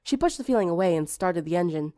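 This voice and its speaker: A woman's voice: lowish voice, lively intonation, fast, mellow, no echo, studio quality. A woman reading scripted fiction as an audiobook narrator.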